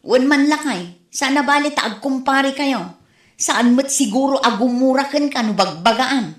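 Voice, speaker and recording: Animated, high-pitched Ilocano woman playing a nagging wife. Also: moderate pace, reverberant. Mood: indignant, gossiping. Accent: Filipino, Ilocano. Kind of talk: comedy audio drama acting.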